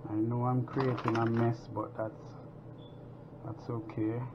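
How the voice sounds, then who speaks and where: A man's voice: mid-range voice, flat delivery, measured pace, muffled recording, background noise. A man from Jamaica hosting a home cooking show, chatting casually and proudly as he makes a pizza in his kitchen.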